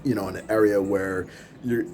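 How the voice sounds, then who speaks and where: A man's voice: lowish voice, moderate pace, slight background noise. A man, a music producer and podcast host, talking off the cuff in a casual, rambling vlog from his apartment with a camera in hand. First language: English. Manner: rambling, relaxed, laughs between thoughts. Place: apartment in a big city, filming out over rooftops.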